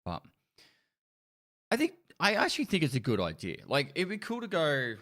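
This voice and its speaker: A man's voice: a little high, huge pitch swings, moderate pace, fairly bright tone. A man hosting a podcast, chatting in a jokey, exasperated way. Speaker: podcast host. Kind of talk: casual podcast chat.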